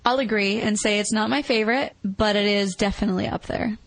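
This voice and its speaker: A woman's voice: medium pitch, fairly even intonation, brisk, no echo, low-quality recording. A woman co-hosting a film review podcast, giving her opinions casually. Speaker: podcast co-host reviewing films.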